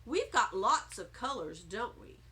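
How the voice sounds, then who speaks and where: A woman's voice: fairly high pitch, sing-song, a little slow, sharp tone, voice pushed down, full character voice. A woman voicing a friendly, easygoing dad who patiently explains a party game in a children's story. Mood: calm, encouraging. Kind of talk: children's audiobook character voice.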